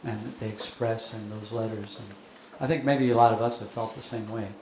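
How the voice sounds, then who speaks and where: An older man, a bishop, speaking thoughtfully at a lecture with many pauses and fillers. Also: fairly low pitch, fairly even intonation, a little mellow. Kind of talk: lecture and Q&A remarks. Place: lecture hall. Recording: echoey hall with background noise.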